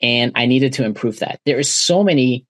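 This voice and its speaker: Forties guest, German native speaker, middle pitch, dry close sound, thinks out loud with lots of uhs. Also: male voice, normal speed, dry recording. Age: 40s. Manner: thoughtful, many uh fillers and restarts. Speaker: podcast guest. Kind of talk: reflective interview conversation.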